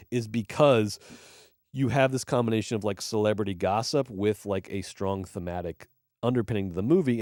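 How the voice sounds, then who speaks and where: A man co-hosting a movie podcast, reviewing films in casual chat, rambling and swearing with animated emphasis. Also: fairly low pitch, no room sound, studio quality. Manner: rambling and profane. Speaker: podcast co-host.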